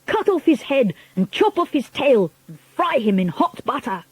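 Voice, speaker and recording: Woman doing shrill, bloodthirsty witch crowd. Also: moderate pace, breathy edge, dry recording, full character voice. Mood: shrieking. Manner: shrill, excited exclaiming.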